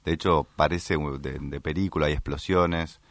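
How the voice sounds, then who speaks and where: An Argentine man with a very low voice, recorded close and dry. He reports news in a casual discussion, searching for words and correcting himself as he goes. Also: steady tone, moderate pace, no echo. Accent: Argentine Spanish.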